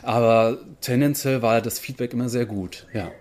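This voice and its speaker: A man of average pitch chats casually as an interview guest. He talks like an actor discussing his work in an informal conversation. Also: fairly even intonation, normal speed, darkish tone.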